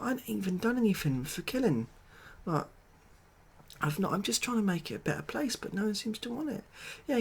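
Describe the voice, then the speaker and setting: Creaky-voiced British man, hesitant and deadpan. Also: high-pitched. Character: glum, overwhelmed ex-builder made president of the world. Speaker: ASMR roleplay performer. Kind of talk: soft-spoken ASMR comedy roleplay monologue.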